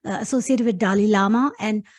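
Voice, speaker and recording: A woman's voice: mid-range voice, a little fast, darkish tone. A middle-aged woman from Pakistan, a guest talking earnestly in a casual interview and laughing easily.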